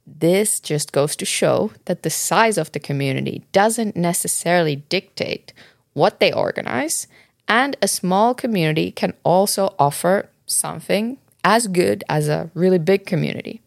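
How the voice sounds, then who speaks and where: Low-voiced woman with a gravelly edge. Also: lively intonation, normal speed, no room sound, clean studio sound. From Finland. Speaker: podcast co-host. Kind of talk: scripted podcast tips. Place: Montreal, Canada.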